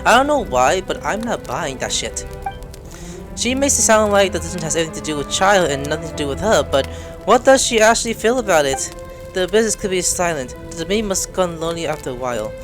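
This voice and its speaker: Animated, low-voiced woman doing game commentary. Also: brisk. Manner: joking, foul-mouthed, animated. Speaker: gameplay video host. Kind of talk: playthrough commentary with read-aloud dialogue and joking. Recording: game music behind.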